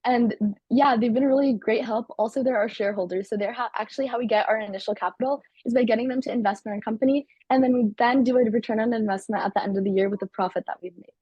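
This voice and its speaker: Fast-talking teenage girl, husky and dry. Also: on the high side, no echo, lo-fi sound. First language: Turkish. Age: teens. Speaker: student company CEO. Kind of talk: interview answers.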